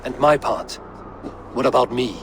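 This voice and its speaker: An actor playing a proud, defiant Norse god and war leader in a dramatic video game. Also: male voice, medium pitch, unhurried, noisy background, lightly acted. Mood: impatient, grave.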